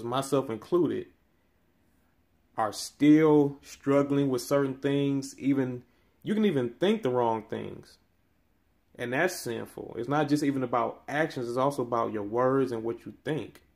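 A man in his thirties talks through scripture in an earnest, preacherly way, his voice climbing higher and stronger whenever he drives a point home. His pitch sits in the ordinary middle range for a man. He records on a phone held close in a small room. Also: normal speed, darkish tone. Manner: preacherly, rising in pitch and force on key phrases. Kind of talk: earnest Bible-teaching commentary. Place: small room indoors by window blinds. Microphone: phone camera held close.

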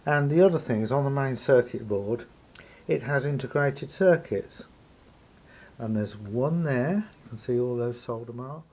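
A man with a gravelly, husky voice gives an unscripted hands-on demonstration, thinking aloud hesitantly as he explains. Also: medium pitch, measured pace, darkish tone. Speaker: hobbyist radio repairer. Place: indoor room at a table.

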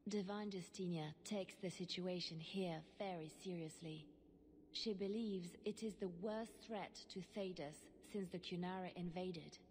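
An actress playing a composed, grave church agent in a fantasy game, measured and earnest. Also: female voice, lowish voice, darkish tone, in a large hall, slight background noise. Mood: grave warning. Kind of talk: fantasy video game voice acting.

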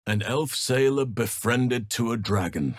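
An actor playing a wise, gentle elf druid in a video game, with a measured, formal, thoughtful delivery. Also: male voice, medium pitch, expressive, dry recording, studio quality. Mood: thoughtful, calm. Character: wise, gentle male elf druid, nature-loving and solemn. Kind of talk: dramatic game dialogue.

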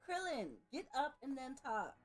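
A woman's voice: high-pitched, big pitch swings, unhurried, faint music behind. A young woman co-hosting a reaction show in casual chat. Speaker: reaction co-host. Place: small furnished game room indoors.

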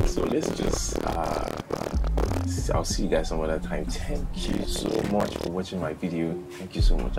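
Deep, gravelly young Nigerian man. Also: rough recording. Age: young adult. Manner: chatty, self-mocking, repeats phrases. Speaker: reaction video host. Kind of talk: casual reaction commentary. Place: small bedroom studio with neon lights. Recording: song playing loudly behind. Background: loud music.

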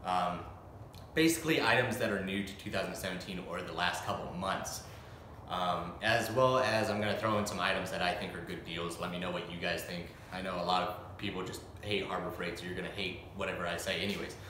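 A man's voice: low voice, steady tone, brisk, sharp tone, slight background noise. A young man in his twenties giving a casual, opinionated tool review, with lots of ums and restarts, filmed standing in a small empty room of a house. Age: 20s. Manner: chatty, opinionated, many "um"s and restarts. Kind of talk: casual product review vlog. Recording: echoey bare room.

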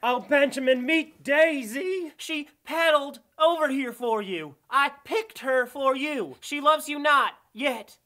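A high-voiced female puppet character acted by a woman for a comic parody. She is chatty and forward, sweet on the surface and blunt underneath. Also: slow pace, hardly any pauses, fairly bright tone. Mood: sweetly forward. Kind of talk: sock puppet parody acting.

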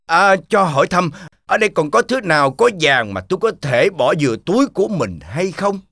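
A man voicing a greedy, scheming, grumbling wolf in a children's cartoon fairy tale, with whiny, drawn-out muttering. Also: on the high side, big pitch swings, gravelly, a bit bright, no room sound, clean studio sound, heavily acted. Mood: scheming, flustered.

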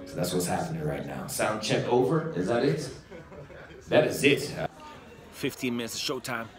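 A man's voice: mid-range voice, moderate pace, over music. A man in his thirties, a comedian, talking casually and jokingly to his phone camera, held at arm's length, aboard a cruise ship. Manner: relaxed, self-mocking, chatty. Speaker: comedian vlogger. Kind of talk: casual vlog. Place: cruise ship cabin and theater.

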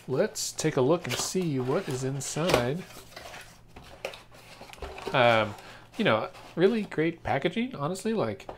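An American man in his thirties or forties giving a casual, informal unboxing commentary, thinking aloud as he goes. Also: mid-range voice. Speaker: product reviewer. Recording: clear background noise, handling clicks.

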